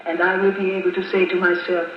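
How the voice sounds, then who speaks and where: Echoey old radio actress as teasing adoring sweetheart. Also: female voice, fairly low pitch, little pitch movement, lightly acted. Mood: playful, adoring. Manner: coquettish, deliberate uncontracted phrasing. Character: enchanting foreign sweetheart, playful, adoring, teasing woman. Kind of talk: 1950s radio drama acting. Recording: muffled, noisy, echoey 1950s wire recording.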